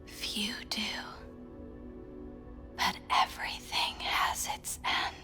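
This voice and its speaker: An actress playing a calm, enigmatic woman counsellor in a video game, speaking in a breathy, soothing, measured way. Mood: hushed, intense. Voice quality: breathy, whispery. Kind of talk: cinematic video game acting. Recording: music playing behind the voice. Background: music.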